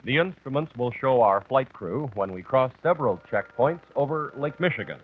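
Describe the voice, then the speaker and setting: A man's voice: mid-range voice, expressive, moderate pace, slight background noise. An American man reading scripted documentary narration on an old, muffled 1960s television soundtrack. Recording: muffled 1964 TV kinescope, narrow band.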